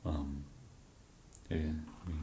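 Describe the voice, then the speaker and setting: An English man in an ASMR roleplay as a shop genius, speaking softly and hesitantly with many fillers and a dry, self-deprecating humour. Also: drawn-out words, roomy sound, a little hiss or hum. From England.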